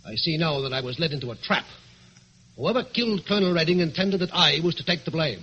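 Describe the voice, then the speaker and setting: Dull lo-fi radio sound, higher-pitched male voice, suave gentleman thief, composed, persuasive, old radio drama. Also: lively intonation, fast. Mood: urgent, pleading. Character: suave quick-witted gentleman thief turned sleuth. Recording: dull lo-fi radio recording, faint hiss.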